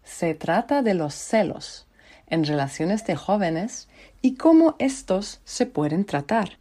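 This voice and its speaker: A woman hosting a student program, reading a scripted introduction in two languages with a lively announcing tone. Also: medium pitch, unhurried. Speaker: program host.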